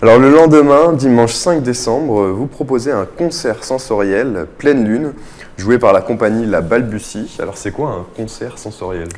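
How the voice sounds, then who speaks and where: A man's voice: mid-range voice, moderate pace, darkish tone. A man hosting a radio interview, introducing segments and putting questions to a guest. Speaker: radio host.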